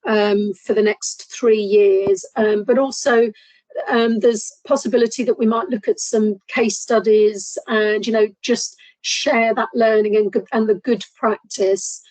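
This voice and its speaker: A hoarse woman from a safeguarding board making an announcement in an online meeting, speaking hesitantly with frequent ums. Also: mid-range voice, fairly even intonation, measured pace, darkish tone, no echo, poor audio.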